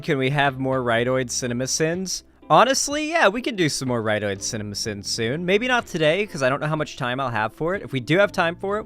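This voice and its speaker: A man hosting a live stream, chatting casually and informally with his viewers in an animated way. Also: on the high side. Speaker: livestream host.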